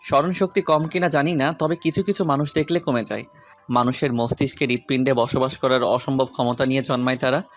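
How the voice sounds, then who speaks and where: A man's voice: medium pitch, fairly even intonation, brisk, faint music behind, natural voice. A man playing a stern, brooding young romantic lead in an audio story. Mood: smitten, poetic.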